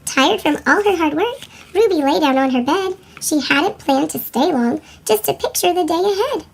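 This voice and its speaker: A woman reading a children's picture book as storyteller, with a squeaky chipmunk voice effect. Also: lively intonation, moderate pace, creaky. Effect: sped-up chipmunk pitch shift. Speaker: read-aloud storyteller for children.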